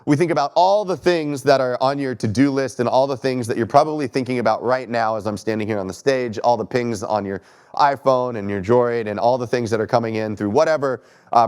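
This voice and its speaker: A man's voice: medium pitch, a little mellow. Stuffy Texan man giving a talk. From Texas. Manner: conversational, self-deprecating, asks audience questions. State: stuffy from allergies. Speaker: keynote speaker. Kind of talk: conference talk. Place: stage in a lecture hall.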